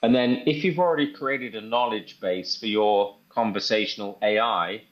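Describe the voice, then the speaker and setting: A man presenting a software demo in an informal, step-by-step tutorial style. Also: lowish voice, moderate pace, dull audio. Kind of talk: casual screen-share tutorial walkthrough.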